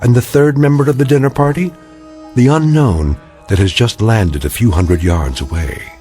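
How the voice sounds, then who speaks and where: An actor playing the wry, portentous narrator of an eerie radio drama, speaking with deliberate menace. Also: male voice, medium pitch, mellow, soft background music, close to an everyday voice. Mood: ominous, wry. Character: ominous, wry male narrator of uncanny tales.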